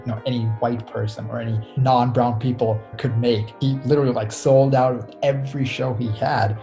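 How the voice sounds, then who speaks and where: A man's voice: mid-range voice, creaky. A young man from Sri Lanka, talking in a reflective, chatty way with many fillers as an interview guest. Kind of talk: casual interview.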